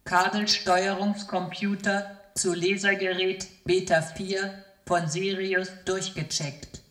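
Casting: impassive mainframe computer, unhurried formal announcements, roomy echo, sci-fi radio play. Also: mid-range voice, level tone, fairly bright tone. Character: emotionless computer announcing spacecraft status reports. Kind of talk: science fiction radio drama acting. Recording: echoing, roomy announcement sound.

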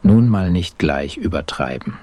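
Man as husky, stuffy gallant gentleman. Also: sits low, expressive, unhurried, dry recording. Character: stuffy, gallant Prussian gentleman, Schmidt, easily irritated. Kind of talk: audio drama acting.

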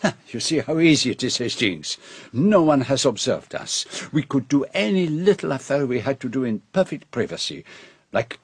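A man's voice: medium pitch, big pitch swings, moderate pace, breathy edge. A middle-aged Belgian detective in a radio drama. He speaks with a French-speaking Belgian accent in precise, formal phrases, courteous and shrewd, with a theatrical flourish. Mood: brisk, conspiratorial.

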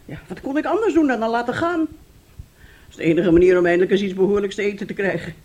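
Actress playing a fussy older mother, animated delivery. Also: mid-range voice, fast, highs cut off, natural voice. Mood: agitated. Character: fussy, scheming older mother, gushing then bitterly nagging. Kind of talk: Dutch radio play acting.

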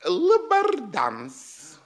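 An unctuous hospital overseer in a classic radio comedy. He is deferential yet smugly boastful, speaking slowly with hugely varied, theatrical intonation. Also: very high-pitched, huge pitch swings, heavily acted. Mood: servile. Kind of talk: classic Russian stage-comedy radio acting.

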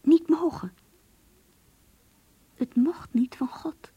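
An actress in a radio play voicing an earnest, introspective young woman who confides in her diary in an intimate, breathy voice. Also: sits high, extremely slow, no echo, dull audio. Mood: wistful, troubled. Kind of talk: radio drama diary monologue.

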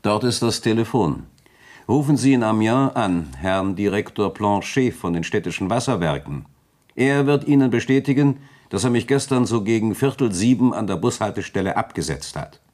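A man's voice: lowish voice, expressive, normal speed, a little mellow. A man playing a suave, self-assured provincial notary, urbane and condescending, in a German radio play.

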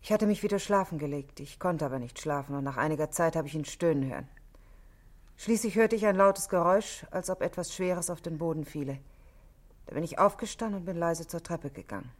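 An actress playing a cool, controlled married woman under questioning in a 1960s German radio play, speaking in a measured, precise, matter-of-fact way. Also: on the low side, natural voice. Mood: grave, recounting.

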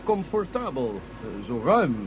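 A man's voice: medium pitch, sing-song, unhurried. A man playing a courteous, secretive ruler travelling as a guest, speaking in formal, urbane phrases in a science-fiction radio play. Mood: courteous, probing. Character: courteous, smooth-talking male ruler, secretive guest aboard. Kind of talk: Dutch science-fiction radio drama acting. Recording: clear buzzing ship noise behind.

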